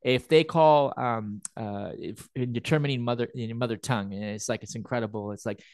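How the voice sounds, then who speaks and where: A man's voice: mid-range voice, fairly quick, darkish tone, clean tone, no room sound. A man co-hosting a local podcast, ranting in casual chat and laughing at his own points. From Montreal, Canada. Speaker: podcast co-host.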